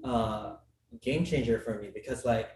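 A man's voice: mid-range voice, unhurried, a little mellow. Young Filipino man, podcast guest, easygoing, lots of fillers; roomy, distant mic, faint hiss. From Philippines. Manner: chatty, many fillers and restarts, laughs often. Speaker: guest, business school student. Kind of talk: casual podcast conversation.

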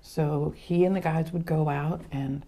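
A middle-aged woman born in Argentina and raised in Los Angeles, recalling her past with animated, laughing storytelling. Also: low-pitched, unhurried. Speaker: interview guest, photographer. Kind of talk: casual storytelling.

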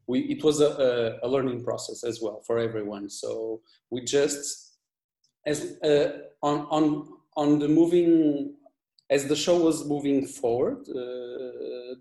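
A man with a Portuguese accent speaks slowly and haltingly, circling back over his words as he explains. His voice is mellow and dark. The room adds a noticeable echo. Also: medium pitch, gravelly. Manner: halting, many restarts and fillers. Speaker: video editor, guest. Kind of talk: casual interview chat.

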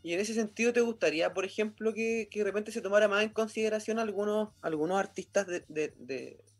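A man's voice: sits high, fairly quick, faint music behind. A man with a Chilean accent interviewing a guest, asking loose questions and restarting often. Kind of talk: casual interview.

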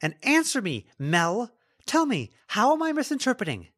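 A man voicing an intense, accusing teenage schoolgirl in a forceful, demanding audiobook performance. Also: a little high, sing-song, quick pace, voice pushed up. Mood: angry, accusing.

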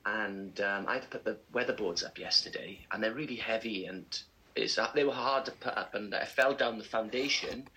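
A man co-hosting a casual podcast, chatty and animated, laughing often as he tells stories. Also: fairly low pitch, fairly quick, low-quality recording. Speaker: podcast co-host. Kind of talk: casual chat.

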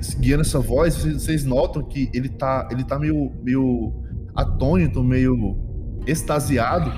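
A Brazilian man running a tabletop game as game master, narrating off the cuff and often repeating words. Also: medium pitch.

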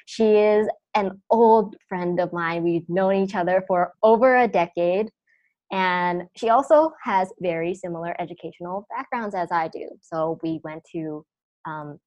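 A woman's voice: on the low side, a little mellow. A woman in her twenties hosting a podcast, chatting casually and explaining at length. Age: 20s. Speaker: podcast host.

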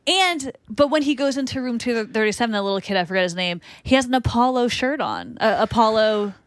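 A woman's voice: on the high side, animated, brisk, dry recording, studio quality. A woman with a Boston accent chatting casually as a podcast co-host, blunt and chatty. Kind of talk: casual chat.

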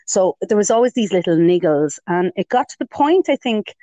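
A woman talks as a guest in a relaxed interview, her voice sitting low for a woman. She tells stories warmly and readily, acting out her own inner voice. The sound is dry and close, with no room around it. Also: normal speed, dry recording, dull audio. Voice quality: lower voice for a woman. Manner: chatty storytelling with self-quotes and laughs. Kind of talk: casual interview chat.

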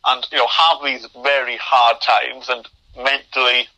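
Young man, stammering speech, very bright sharp timbre, average pitch, remote call audio. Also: level tone, a little slow, poor audio. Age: young adult. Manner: stammers, with repeated starts and blocked words. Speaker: podcast guest. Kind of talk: interview conversation.

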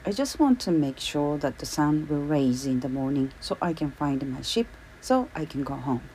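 A woman voicing a courteous adult male timber worker who is stranded far from home, speaking gently and hopefully. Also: low voice, sing-song, moderate pace, a little hiss or hum.

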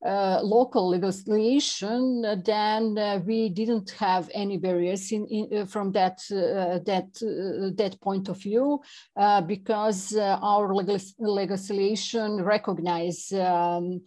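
Halting Serbian woman, slow and mellow. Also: mid-range voice, fairly even intonation. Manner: halting, many fillers and restarts. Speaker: interview guest, chapter representative. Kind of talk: non-native conversational English interview.

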